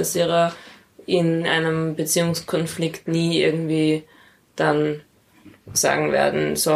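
Creaky, even-toned woman, lower voice. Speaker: interviewee, parent in a polyamorous family. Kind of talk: reflective conversational interview.

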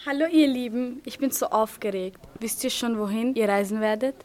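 Schoolgirl playing an eager friendly young woman in a school radio play, highish voice. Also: normal speed, a little mellow, lightly acted. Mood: excited. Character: excited young woman who loves Paris, friendly.

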